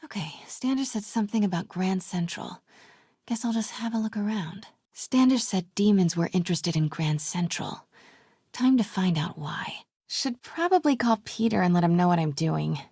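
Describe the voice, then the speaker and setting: A woman playing a determined young reporter in a video game, breathy and often hushed, with a wry way of talking to herself. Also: medium pitch, expressive, moderate pace, no room sound, clean studio sound. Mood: curious, hushed. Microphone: close, dry studio mic.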